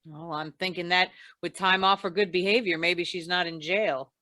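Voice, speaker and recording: A woman playing a wry, shrewd hard-boiled insurance investigator in deadpan 1940s radio noir style. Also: fairly low pitch, moderate pace, no room sound, studio quality. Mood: wry, probing.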